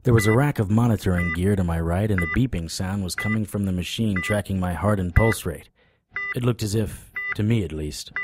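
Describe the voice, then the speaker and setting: Low-voiced, world-weary noir detective played by an actor. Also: male voice, noisy background, natural voice. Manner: wry, deadpan. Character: world-weary, wry male supernatural private detective. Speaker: narrator and lead actor. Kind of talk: noir first-person audio drama narration.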